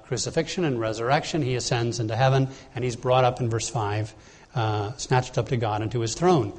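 A man preaching an expository sermon, explaining scripture verse by verse in a plain teaching tone with frequent "uh"s and restarts. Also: on the high side. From Bahamas.

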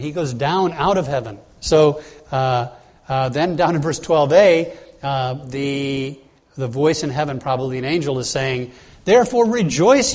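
A man's voice: on the high side, darkish tone. A man preaching an expository sermon, explaining scripture verse by verse in a plain teaching tone with frequent "uh"s and restarts. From Bahamas.

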